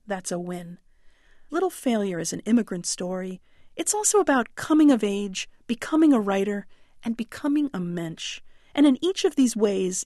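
Female critic, mid-range pitch, dry studio sound, wry articulate read. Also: dark tone, no room sound. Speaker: book critic. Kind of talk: scripted radio book review.

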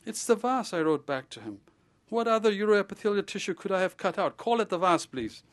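A man voicing a blunt, jovial male surgeon who tells stories in a lively, teasing and theatrical way. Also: a little high, fairly quick, pitched above the performer's own voice. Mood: amused, exasperated.

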